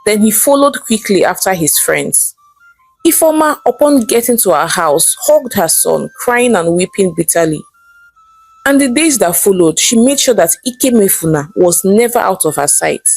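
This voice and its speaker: A woman with a Nigerian accent narrates a folktale in an expressive, dramatised storytelling style. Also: mid-range voice, moderate pace, vocal fry, husky, faint music behind, low-quality recording. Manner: expressive, acts out characters' dialogue.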